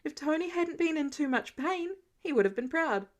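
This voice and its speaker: A woman with a high voice reads a story aloud. Her delivery is scripted and expressive, in the manner of an amateur audiobook narrator. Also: moderate pace. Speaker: podfic reader.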